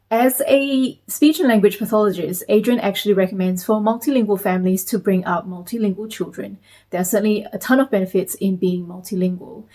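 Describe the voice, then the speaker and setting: A woman in her thirties from Malaysia, talking to camera in a measured, explanatory way as a parenting vlogger. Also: medium pitch, a little mellow. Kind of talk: scripted talk to camera.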